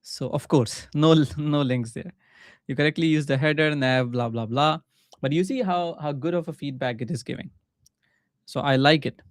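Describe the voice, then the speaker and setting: A man from Pakistan with a Pakistani accent and Urdu as his first language, casually thinking aloud in short halting phrases as he live-streams coding. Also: fairly high pitch, no echo, studio quality. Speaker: livestreaming coder and mentor.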